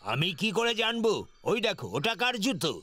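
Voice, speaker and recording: An actor voicing an unbothered cartoon bystander who gives directions in a gravelly voice. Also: male voice, high-pitched, lively intonation, fairly quick, bright, forceful tone. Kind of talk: children's cartoon dubbing.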